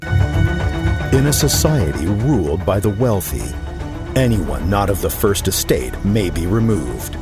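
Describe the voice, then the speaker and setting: An actor playing a grave, ominous narrator, delivering dramatic trailer narration for a dystopian audio drama in a deliberate, portentous way. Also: male voice, fairly low pitch, big pitch swings, over music.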